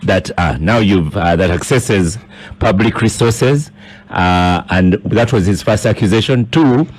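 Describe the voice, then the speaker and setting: A man with a Ugandan accent moderating a live radio debate as host, interjecting and halting as he sums up points. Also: on the low side, darkish tone, slight background noise.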